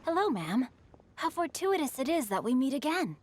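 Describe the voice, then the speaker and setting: A woman's voice: high voice, very animated, normal speed. A woman voicing a refined, bookish and mischievous young boy poet in a video game, speaking eloquently and with composure. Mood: poised, eloquent. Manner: eloquent, composed, literary phrasing.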